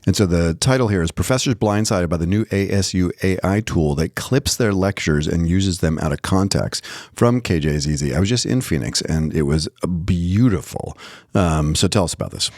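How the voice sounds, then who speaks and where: A man's voice: deep voice, animated, moderate pace, a little mellow, no echo, clean studio sound. A man co-hosting a podcast, chatting casually about the news with jokey asides. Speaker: podcast co-host.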